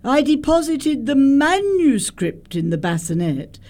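Flustered, guilt-ridden governess woman acting, high pitch, unhurried and earnest, radio play. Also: very animated, a little mellow. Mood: ashamed, confessional. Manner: earnest, precise, melodramatic. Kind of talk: stage comedy radio acting.

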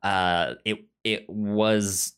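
A man chatting casually as a podcast co-host, with a frequent stutter that repeats sounds and words and a halting, rambling delivery. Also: on the low side, steady tone, fairly bright tone, clear, resonant tone.

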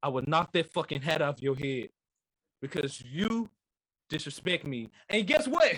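A man's voice: on the high side, expressive, measured pace, fairly bright tone, husky, rough recording. A man delivering a mock wrestling trash-talk promo, boastful and intense, taunting his rival over and over. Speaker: podcast guest competitor.

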